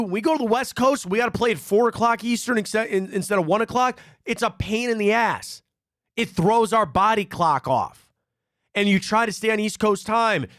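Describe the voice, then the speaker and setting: A sports talk host from Cleveland with a high voice that swoops all over as he tells stories and repeats his points. The sound is dry and close, with little room around it. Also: no echo. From Cleveland, Ohio. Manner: animated storyteller, repeats himself. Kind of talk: live sports talk show.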